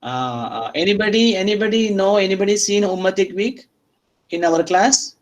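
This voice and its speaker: Higher-pitched male lecturer, repetitive halting delivery. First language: not Arabic or English. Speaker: university lecturer. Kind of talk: interactive online-class lecture, questioning students.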